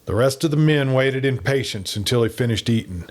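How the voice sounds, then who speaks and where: A man's voice: medium pitch, expressive, fairly quick. A man reading a western story as a scripted, dramatic audiobook narration.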